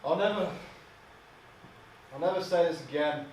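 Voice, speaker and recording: A man's voice: fairly high pitch, moderate pace, pitched above the performer's own voice, natural voice. Male actor as older hard-drinking father: overbearing, contemptuous, stage monologue, recorded far from the mic in an echoey room. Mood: drunken confession. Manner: scornful, forceful, haranguing. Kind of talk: theatrical monologue acting. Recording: distant mic, echoey room, faint hiss.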